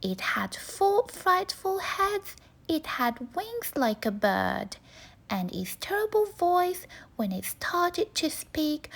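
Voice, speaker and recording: A woman's voice: sits high, gravelly. A woman reading a rhyming children's bedtime story aloud with dramatic, rhythmic phrasing.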